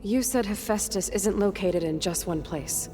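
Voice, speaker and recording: A woman's voice: mid-range voice, normal speed, dark tone, close to an everyday voice. An actress voicing a determined young woman hunter in a video game cutscene, asking direct, probing questions. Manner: direct, inquisitive. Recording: game cutscene with music, distant.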